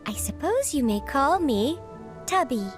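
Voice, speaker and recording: High, prim bird-like spirit butler. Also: female voice, big pitch swings. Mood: prim, flustered. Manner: formal, prim, deliberate. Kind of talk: video game character dialogue. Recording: music under the voice.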